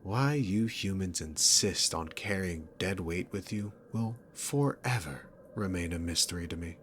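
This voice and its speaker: A man's voice: sits low, fairly bright tone, slight background noise. A man playing a smug, sarcastic, dominant demon king villain in an ASMR roleplay, mocking and condescending as he addresses the listener. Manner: mocking, condescending, unhurried, punctuated by chuckles.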